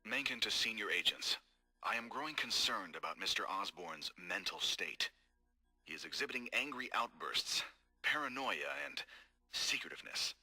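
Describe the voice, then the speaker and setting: A man voicing a cold, scheming corporate executive in game audio logs, calm, measured and formal. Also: fairly low pitch, husky, heavily acted, rough recording. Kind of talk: recorded game audio log.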